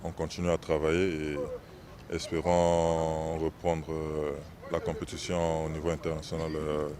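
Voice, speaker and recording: A young man with a very deep, flat voice answers interview questions. He is a basketball player from the Republic of the Congo, and he talks haltingly, full of fillers and restarts. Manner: hesitant, many fillers and restarts.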